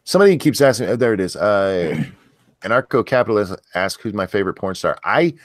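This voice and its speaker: A man with a deep voice hosting a casual livestream chat, reading viewer questions in an easygoing, crude, joking way. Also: big pitch swings, fairly quick, no room sound.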